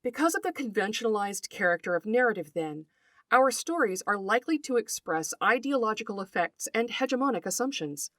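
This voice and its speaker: Woman podcast narrator, scholarly scripted read, precise and deliberate phrasing, lively pitch movement, dry close sound. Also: no room sound. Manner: precise, articulate, lecturing with deliberate enumerations. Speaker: podcast host.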